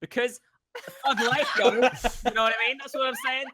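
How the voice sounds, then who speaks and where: Bright high-pitched joking man. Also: flat delivery, fairly quick, a little hiss or hum. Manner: boastful, playful, laughing often. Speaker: player in an improv card game.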